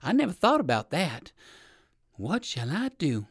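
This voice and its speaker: Lady doing an old gentleman rabbit for a kids story, timid n polite, frets a lot, voice goes up and down. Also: deep voice, very animated, measured pace, slightly rough, no room sound, clean studio sound, heavily acted. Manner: fretful, courteous.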